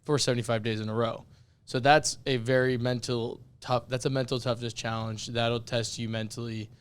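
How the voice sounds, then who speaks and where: A young man with a raspy, worn-out voice co-hosts a laid-back podcast. His tone stays even and flat. Under the rasp, the sound is still smooth and clear. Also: medium pitch, moderate pace. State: voice worn out from yelling. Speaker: podcast co-host. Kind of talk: casual podcast chat.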